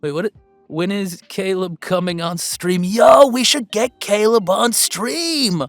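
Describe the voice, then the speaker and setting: A woman voicing a young male werewolf streamer, soft-hearted and excitable, talking to viewers in halting, heartfelt, informal chatter in an audio roleplay. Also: lowish voice, dry recording, soft background music, close to an everyday voice. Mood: playful, giddy.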